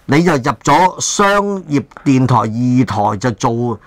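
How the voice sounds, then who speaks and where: A man's voice: mid-range voice, animated, slow pace, no room sound. Older Hong Kong man hosting, dry sound. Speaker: talk show host. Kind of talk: casual chat, reminiscing. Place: small book-lined room, indoors.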